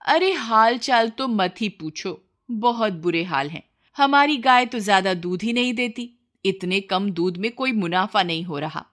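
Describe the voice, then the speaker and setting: A woman's voice: mid-range voice, expressive, a little slow, fairly bright tone. Exclamatory greedy village wife acted by a woman narrator. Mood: eager, complaining. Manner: exclamatory, pleading village speech. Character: greedy village wife, sly, worried mother.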